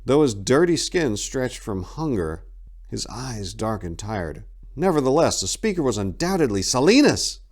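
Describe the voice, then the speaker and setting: A man giving a theatrical, suspenseful dramatic reading of a dark fantasy story as an audiobook narrator. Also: medium pitch, sing-song, moderate pace.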